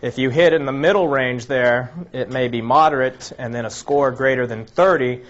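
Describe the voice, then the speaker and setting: A man lecturing to a room in a slightly raspy, mid-pitched voice, working through his points one item at a time with frequent restarts. The recording is dull and lo-fi. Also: moderate pace. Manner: explanatory, lists items one by one, frequent restarts. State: slightly raspy from a recent cold. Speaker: health presenter. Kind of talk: informal lecture to a live audience.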